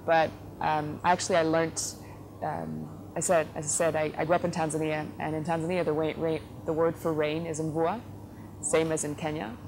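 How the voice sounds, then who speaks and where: Low, even-toned woman with many fillers. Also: normal speed. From Netherlands. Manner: thoughtful, frequent fillers and self-corrections. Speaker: company CEO, interview guest. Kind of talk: relaxed conversational interview answers. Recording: noticeable background noise.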